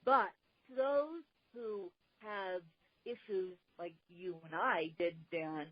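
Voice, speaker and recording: A woman hosting a podcast interview, speaking deliberately with a slight speech impediment. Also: medium pitch, no room sound, dull audio. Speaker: podcast host.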